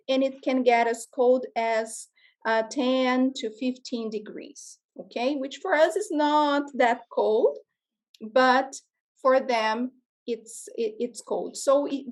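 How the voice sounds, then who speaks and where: A woman from Brazil with a Brazilian Portuguese accent, speaking English as her second language and teaching a class, often checking in with "okay?". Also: on the high side. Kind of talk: interactive classroom lesson. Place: classroom with students.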